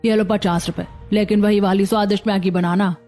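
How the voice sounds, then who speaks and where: A woman playing a friendly, appreciative neighbour who insists warmly in a cartoon story. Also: medium pitch, fairly even intonation, fairly quick, faint music behind, natural voice. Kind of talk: Hindi cartoon story acting.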